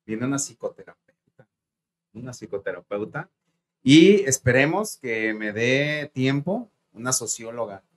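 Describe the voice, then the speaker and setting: A man hosting a casual interview, talking in a relaxed way with lots of fillers. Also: mid-range voice, unhurried. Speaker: host.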